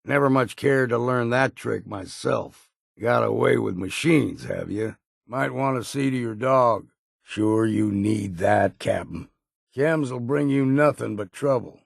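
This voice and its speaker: An actor playing a gruff, folksy, weathered old sailor in a video game, with a gravelly, raspy voice and rustic, plain-spoken phrasing. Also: male voice, medium pitch, a little slow, no room sound, clean studio sound. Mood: impressed, wry. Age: older. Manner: folksy, rustic turns of phrase, dropped grammar. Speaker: video game companion character.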